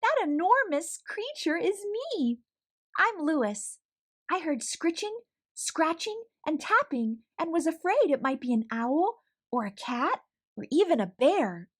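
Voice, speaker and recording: A woman's voice: extremely high pitch, very animated, takes it slowly, voice pushed up, full character voice. A woman voicing a timid little male mouse from a storybook who tries to sound brave and often speaks in questions. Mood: sheepish. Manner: rhyming, exclamatory questioning.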